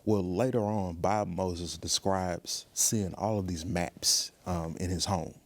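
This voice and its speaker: A man with a very deep voice answers as an interview guest. He explains things earnestly and deliberately, in a measured, thoughtful manner. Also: steady tone. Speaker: museum professional guest.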